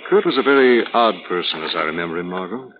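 Gentleman investigator, grave and analytical, measured delivery. Vintage radio mystery, dull sound with clear hiss. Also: deep voice, animated. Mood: grave, pondering. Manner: measured, deliberate, serious. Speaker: lead actor in radio mystery drama. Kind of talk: 1940s radio acting. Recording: dull, noisy 1940s radio recording.

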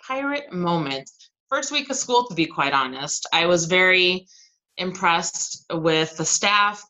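A woman's voice: on the low side, sharp tone, rough recording. A woman from Southern California and Arizona, a kindergarten teacher, talking in a friendly, chatty way in a casual podcast interview and laughing easily.

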